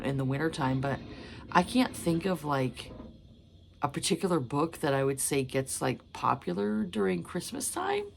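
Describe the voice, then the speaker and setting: A woman in her thirties, hoarse from a cold, chatting casually about books with many fillers. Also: low-pitched, slight background noise. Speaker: book vlogger. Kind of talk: casual chat.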